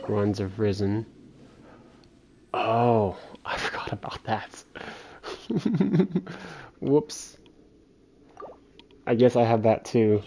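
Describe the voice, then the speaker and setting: A man's voice: lowish voice, mellow, slight background noise. A man casually chatting and muttering to himself as he livestreams a game. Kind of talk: casual gameplay chat.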